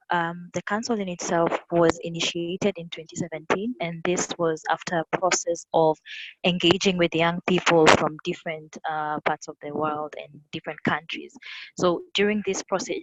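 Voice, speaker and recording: A woman's voice: on the low side, muffled recording. East African woman presenting over windy, noisy audio. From South Sudan. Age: young adult. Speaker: youth advocate presenting. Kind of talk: webinar presentation. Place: online call from a windy spot. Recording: windy, noisy online call with clicks. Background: wind noise.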